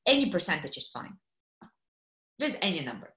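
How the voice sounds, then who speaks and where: Middle-aged woman from Kazakhstan, guest speaker on a video call, lower voice, roomy echo. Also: moderate pace. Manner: lively, fillers, asks the audience questions. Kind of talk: webinar presentation. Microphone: computer mic on video call.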